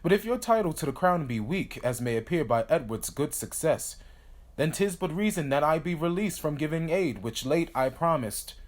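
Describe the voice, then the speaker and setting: An actor playing a stately king in a Shakespearean drama, courteous but quick to take offence, in formal verse. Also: on the high side, lively intonation, natural voice. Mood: judicious. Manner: regal, formal, declamatory. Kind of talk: Shakespearean stage-style audio drama acting.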